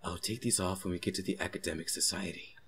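A man playing a condescending, fussy gentleman mage in a comic fandub, polite and old-fashioned in his wording. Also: low-pitched, steady tone, fast, heavy background noise, natural voice. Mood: pleased, chatty.

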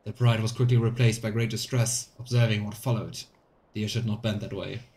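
A man reading aloud the journal of an earnest, methodical demon researcher in measured, clipped phrases for a video game. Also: on the low side, flat delivery, darkish tone, close to an everyday voice. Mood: earnest, studious.

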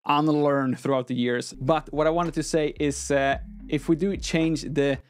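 A young man, a non-native English speaker, hosting a conversational software tutorial with an informal, step-by-step explanatory manner into a close microphone. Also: on the high side, steady tone, unhurried, clear, resonant tone, soft background music. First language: not English. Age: young adult. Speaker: tutorial host. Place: small room at a desk, indoors. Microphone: close microphone on an arm.

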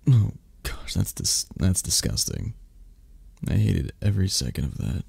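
Sick, sulky mafia boss played by a man for ASMR romance: raspy, swooping intonation, close dry sound, plaintive and possessive. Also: deep voice, sing-song, no room sound, heavily acted. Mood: miserable, plaintive. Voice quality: soft, breathy, raspy. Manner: halting, sighing, plaintive. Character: sick, grumbling, needy male mafia boss, possessive lover. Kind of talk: ASMR romance roleplay acting.

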